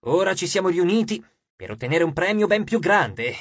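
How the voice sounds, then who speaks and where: A man voicing a scornful, sharp-toothed ghost suitor with a mocking, domineering manner. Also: high-pitched, big pitch swings, moderate pace, husky, dry recording, muffled recording, exaggerated voice. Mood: scheming.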